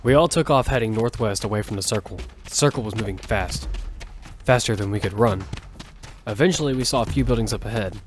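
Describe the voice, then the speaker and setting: A man narrating an audio drama in first person as its lead, telling the story with quick urgency over music. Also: on the low side, slight background noise, lightly acted.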